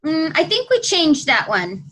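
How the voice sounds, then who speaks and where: A woman's voice: sits high, wildly swooping pitch, unhurried, fairly bright tone. A woman chatting casually with teammates over a video call. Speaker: student team member.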